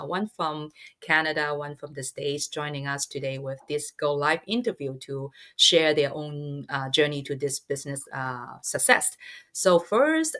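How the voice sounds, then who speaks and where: A middle-aged woman hosting a live online interview, with halting non-native phrasing. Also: low-pitched, fairly bright tone. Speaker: host.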